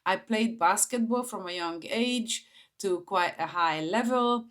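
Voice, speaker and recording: A woman's voice: medium pitch, a little slow, a bit bright. A woman in her forties with a Hungarian accent, talking informally to camera as she shares personal stories. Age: 40s. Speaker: vlogger, entrepreneur.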